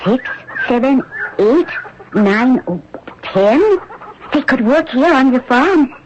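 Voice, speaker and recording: An actress playing an earnest, gently persuasive French nurse in an old-time radio drama. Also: female voice, sits high, lively intonation, slow pace. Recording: dull, noisy old radio, farmyard birds.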